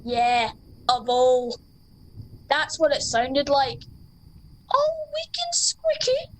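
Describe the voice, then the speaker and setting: A woman's voice: sits high, wildly swooping pitch, slow pace, exaggerated voice, rough recording. A woman playing a jumpy, dim soldier in a radio comedy. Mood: jumpy, sleepy. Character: jumpy, dim Scottish soldier sleeping at camp.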